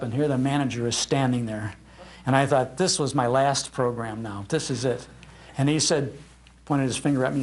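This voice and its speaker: An older man, a radio host, giving an informal live talk in a chatty, anecdotal way into an event microphone. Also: a little high. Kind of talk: informal public talk, storytelling. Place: speaking live in a public library room.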